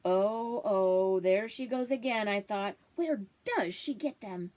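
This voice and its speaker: A woman's voice: medium pitch, animated, slow pace, a little mellow. A woman voicing a wry, food-loving family dog who narrates his own storybook tale in a confiding manner.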